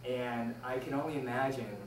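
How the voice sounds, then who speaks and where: A young man originally from Taiwan gives a prepared personal speech in a deliberate, measured way with pauses. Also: mid-range voice, steady tone. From born in Taiwan, moved to the United States at six. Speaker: speech club member giving a speech. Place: indoor room, recorded from a distance. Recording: roomy, distant mic with faint noise.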